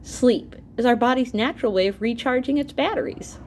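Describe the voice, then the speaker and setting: A woman's voice: on the high side, fairly quick, slight background noise. A woman reading an educational book aloud as a teacher to students, expressively, speaking directly to her listeners with asides. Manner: animated, with asides and exclamations to listeners.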